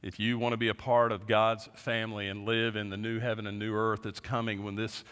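A man in his fifties, a pastor preaching a sermon from a lectern in a large church, earnest, often dropping his voice low at the end of a point. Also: flat delivery, brisk, fairly bright tone, smooth. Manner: earnest, gestures widely, voice drops low at ends of points. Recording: long reverb of a large sanctuary.

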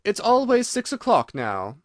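Mad hatter type played by a man: contemptuous, emphatic, raised pitch, swooping intonation. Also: huge pitch swings, a little slow, dry recording, clean studio sound, full character voice. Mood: mournful. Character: eccentric, contemptuous, argumentative male hatter. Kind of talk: storybook reading.

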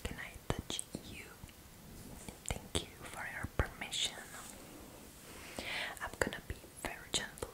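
Young woman, breathy whisper, unhurried pace, gentle and soothing, ASMR roleplay. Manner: gentle, soothing, repeats words softly. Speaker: ASMR creator in a roommate roleplay. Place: small indoor room.

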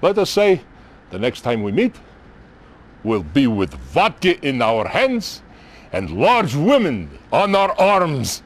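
An older male actor playing a weary, sardonic Soviet general in a game cutscene, with a Russian accent and theatrical, deliberate phrasing. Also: mid-range voice, wildly swooping pitch, exaggerated voice. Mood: wry, hearty. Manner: theatrical, deliberate, pausing between short phrases. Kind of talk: dramatic game cutscene acting.

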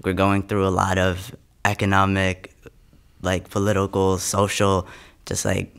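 A man's voice: low-pitched, monotone, unhurried, creaky, a bit bright, clear, resonant tone. A young man from the United States, a spoken word poet, recorded close on a studio microphone. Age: young adult. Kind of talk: spoken word poetry and interview answers. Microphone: close studio condenser microphone.

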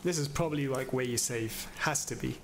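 A young man on a headset casually talking through a video game in a small room, with a middle-pitched, easygoing voice. He sounds offhand and jokey. Game sounds hum clearly behind him. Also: a little fast. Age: young adult. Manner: laid-back, offhand, joking. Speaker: gaming streamer. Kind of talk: casual let's-play commentary.

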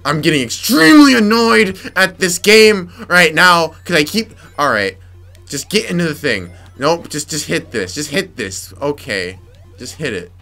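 Young male let's-player, raspy from yelling, bright voice, faint game music underneath. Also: on the high side, big pitch swings, unhurried. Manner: frustrated, muttering to himself, sudden yelps. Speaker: gameplay commentator. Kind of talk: let's play commentary.

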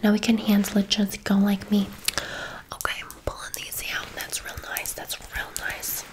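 A woman's voice: mid-range voice, monotone, normal speed, natural voice. A woman playing a gushing, affectionate, gossipy hairdresser in an ASMR roleplay, chatty and full of endearments. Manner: effusive, chatty, uses endearments.

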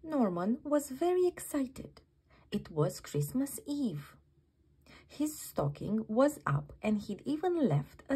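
A woman reads a children's bedtime story with very lively, swooping intonation. She takes her time over the words and has a slightly breathy, husky edge. The delivery is dramatic and expressive. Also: fairly high pitch. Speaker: children's book reader.

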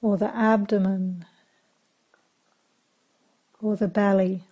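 A woman leads a guided meditation in a dark, relaxed voice. She speaks very slowly and calmly, giving gentle instructions and leaving long pauses between short phrases. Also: mid-range voice, breathy edge, lo-fi sound. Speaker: meditation teacher.

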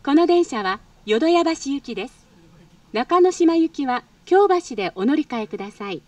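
A woman delivers a formal transit announcement in a high, lively voice. Her delivery is polite and practised, and it sounds a little distant, coming from speakers in a train car. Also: moderate pace, no room sound. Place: train car, over public-address speakers.

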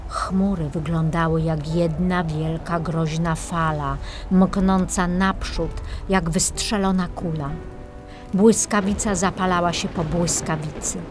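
A woman reading a children's fairy tale as scripted narration, in a measured, expressive storytelling manner. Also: lowish voice. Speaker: main narrator of a children's audiobook. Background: music.

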